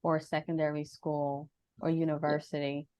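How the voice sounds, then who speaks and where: A woman's voice: low-pitched, level tone, moderate pace, dark tone, dry recording. A woman from Jamaica with an American accent, a panel guest giving a thoughtful personal account over a video call.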